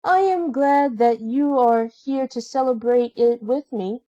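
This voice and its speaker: A woman voicing a sweet, grateful young heroine in a children's storybook, gentle and pleased. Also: fairly high pitch, a little slow, no echo, highs cut off, voice pushed up, close to an everyday voice.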